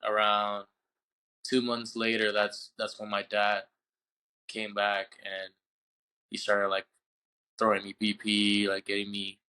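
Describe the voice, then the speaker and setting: A young man from Puerto Rico, a baseball player being interviewed on a podcast, telling his story in a halting way and often repeating words as he searches for the English. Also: on the low side, completely flat delivery, sharp tone, clean tone, low-quality recording. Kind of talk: casual interview.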